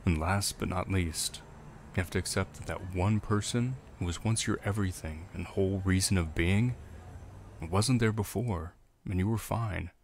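A man's voice: deep voice, moderate pace, a little hiss or hum. A man giving reflective scripted voice-over narration in a solemn, sentimental manner. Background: music.

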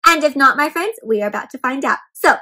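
A woman's voice: high-pitched, quick pace. A young woman hosting an interactive read-aloud for small children, speaking expressively and often putting questions to her listeners. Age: young adult. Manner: animated, expressive, addresses children with frequent questions. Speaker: children's story-time host. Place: small indoor room at home with bookshelves and plants.

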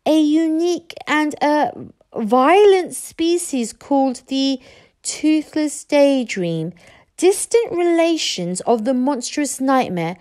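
A woman's voice: high voice, darkish tone, pitched above the performer's own voice, exaggerated voice. A woman voicing an earnest boy who explains things with solemn expert airs, in a storybook reading. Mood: earnest bluffing.

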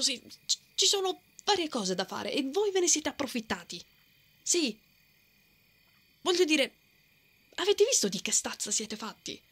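A woman's voice: high-pitched, a bit bright, exaggerated voice. A woman playing a brave, stubborn and protective female knight in a fantasy ASMR roleplay. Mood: indignant, flustered. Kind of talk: ASMR romantic fantasy roleplay, acted monologue.

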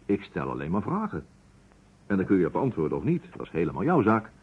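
A shrewd investigator in a vintage radio play. His voice is husky and swings widely in pitch as he questions calmly and pointedly. The recording is dull. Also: fast, exaggerated voice. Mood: probing, firm. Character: probing male investigator, calm, insistent, shrewd. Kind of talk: old Dutch radio drama acting.

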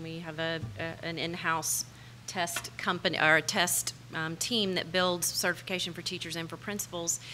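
An American woman in her thirties moderates a conference panel. Her voice is somewhat low and crisp-edged bright, with faint room noise behind the podium mic. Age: 30s. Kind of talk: informal unscripted public speaking. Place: large conference ballroom stage. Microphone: podium microphone.

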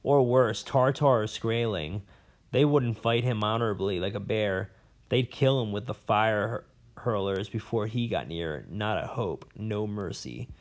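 A man's voice: fairly low pitch, unhurried. A man voicing a pompous, rambling old male professor in an audiobook, blustering and fussy. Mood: gloomy. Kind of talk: audiobook character voice.

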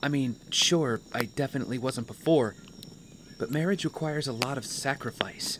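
Actor as young male trainee, mid-pitched, earnest, curious, conversational audio drama delivery, clear outdoor insect noise. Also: normal speed, lightly acted. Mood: reflective. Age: young adult. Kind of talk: naturalistic audio drama acting.

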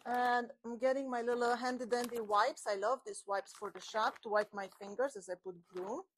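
A woman's voice: on the high side, fairly even intonation, normal speed, a little hiss or hum. A woman in her forties hosting a woodworking tutorial, chatting through each step while she works with her hands, recorded on a clip-on mic in a home workshop. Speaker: woodworking channel host. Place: home woodworking shop with open garage door. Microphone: small clip-on mic on her shirt.

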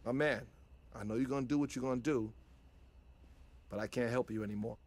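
An actor playing a steady older male mentor in a video game cutscene, calm and fatherly. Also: medium pitch, brisk, a little hiss or hum, lightly acted. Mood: advising.

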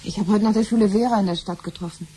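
A woman's voice: mid-range voice, very fast, warm tone, breathy edge, close to an everyday voice. An actress playing a pragmatic, conspiring middle-aged wife and mother in a science-fiction radio play. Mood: conspiratorial.

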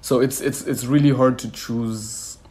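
A male musician from Kenya answering interview questions in a relaxed, thoughtful way, with frequent "you know" fillers. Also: medium pitch, unhurried, breathy edge. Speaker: musician guest.

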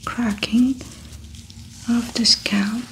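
A young woman whispering softly in an ASMR medical roleplay, calmly narrating a clinical exam as she works. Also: fairly high pitch, extremely slow, a little hiss or hum, poor audio. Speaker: ASMR creator playing a doctor. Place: small indoor studio set.

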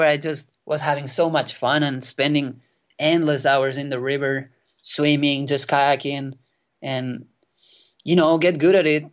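A young man in his twenties, a non-native English speaker whose first language is Catalan, telling a wild kayaking story as a guest, excited and swearing freely. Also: on the high side, clean tone. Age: 20s. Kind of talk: casual storytelling interview. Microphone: remote call.

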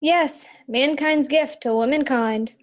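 A woman's voice: high-pitched, slow, dull audio. A woman acting a naive, wry, loyal housemaid in a 1940s-style radio sitcom.

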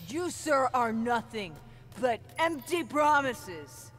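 A woman's voice: on the high side, big pitch swings, slow, fairly bright tone, faint music behind, full character voice. An actress playing a brash, hard-drinking young woman in a video game, wisecracking and swearing freely. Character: brash young woman brawler, foul-mouthed and boozy.

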